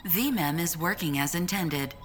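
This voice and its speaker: Actress playing a female AI assistant, lowish pitch, formal and precise, emotionless, long reverb, audio drama. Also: moderate pace, natural voice. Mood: flat, formal. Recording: long reverb, loud music behind.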